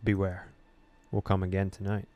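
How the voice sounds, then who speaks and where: A man's voice: low voice, little pitch movement, moderate pace, warm tone. A man hosting a gaming video, reading on-screen story text aloud in a dramatic way with casual reactions.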